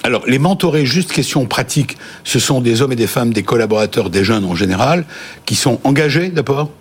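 A man's voice: mid-range voice, animated, fairly quick, breathy edge. A male host running a business interview with playful, joking questions.

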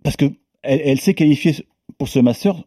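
Lowish warm-voiced guy, stumbles and restarts a lot, dry sound. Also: a little fast, no room sound. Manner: hesitant, many restarts. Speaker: tennis journalist guest. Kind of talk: casual podcast discussion.

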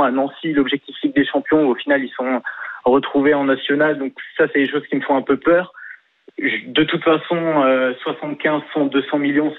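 Man calling in on a tinny phone line. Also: mid-range voice. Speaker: football supporter calling in to a radio show. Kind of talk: live phone-in opinion talk.

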